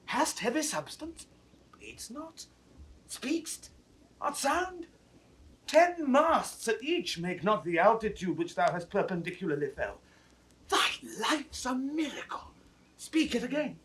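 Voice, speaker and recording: A man playing a disguised young nobleman, tender and urgent, in theatrical classical Shakespearean acting that moves between hush and exclamation. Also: very high-pitched, very animated, slow pace, exaggerated voice. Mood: amazed, coaxing. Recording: distant, noisy 1960s studio drama recording.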